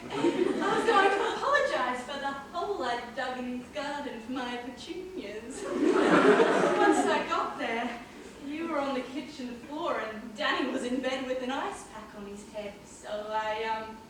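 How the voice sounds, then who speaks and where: Woman acting a dotty, very high-voiced petunia lover. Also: animated. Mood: nervous, halting. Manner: exclamatory, halting, awkward. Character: dotty, bumbling petunia-loving oddball, nervous and sentimental. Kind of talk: amateur comic stage play. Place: large hall, live stage performance. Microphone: far camera or room microphone. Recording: echoey hall from far off, very noisy.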